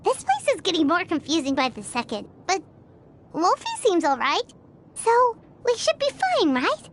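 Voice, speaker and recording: Game casting: extremely high, squeaky little girl sidekick, plucky and very expressive. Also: moderate pace, a little hiss or hum, heavily acted. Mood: puzzled. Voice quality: squeaky, childlike. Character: tiny, perky, childlike adventuring companion, girl. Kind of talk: scripted video game character acting.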